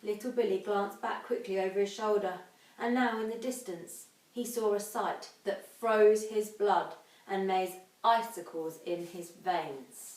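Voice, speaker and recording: A woman's voice: mid-range voice, a little mellow. Female infant teacher, dramatic children's reading style, recorded away from the mic in a roomy space. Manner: dramatic, emphatic, drawn-out sound words. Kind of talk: expressive children's storybook reading and instruction. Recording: echoey room, distant microphone.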